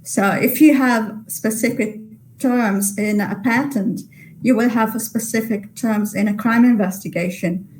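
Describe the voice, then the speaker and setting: A woman, by mother tongue, answering webinar questions. She talks slowly and carefully, pausing and restarting her sentences as she works out her answers. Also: a little high, darkish tone, slight background noise, rough recording. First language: Italian. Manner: measured, hesitant, many restarts. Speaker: guest translator.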